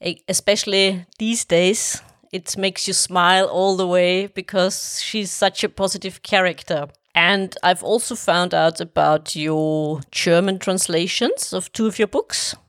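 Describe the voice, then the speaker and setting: A woman hosting a book podcast, speaking in measured, deliberate phrases. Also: lowish voice, fairly even intonation, a bit bright, no room sound, studio quality. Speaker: podcast host. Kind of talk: podcast interview.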